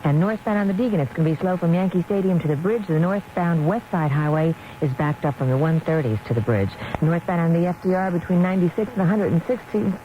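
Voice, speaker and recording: A woman giving a brisk traffic report on the radio. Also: fairly low pitch. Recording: muffled AM radio with faint static.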